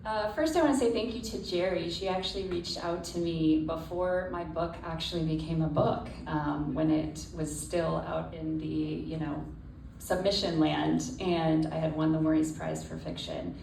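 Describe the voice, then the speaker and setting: A woman speaking as an author, giving an earnest, personal prepared speech to an audience in measured phrases. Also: sits low, fairly even intonation, gravelly, a little mellow. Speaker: author speaking at a literary event. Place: indoor stage before a live audience. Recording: distant echoey room, faint background noise.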